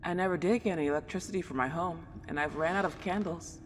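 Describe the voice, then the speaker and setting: A woman's voice: on the low side, quick pace, natural voice. A woman playing a friendly, polite and lonely ghost girl a century old, chatting in a genteel, old-fashioned way in an ASMR roleplay drama. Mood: chatty, apologetic. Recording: long reverb, clear background noise.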